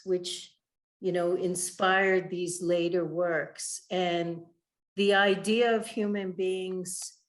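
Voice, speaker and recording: A woman interviewing an artist over a video call, asking thoughtful, measured questions with frequent "you know". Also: on the low side. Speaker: interviewer, writer and curator. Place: home in the Southwest.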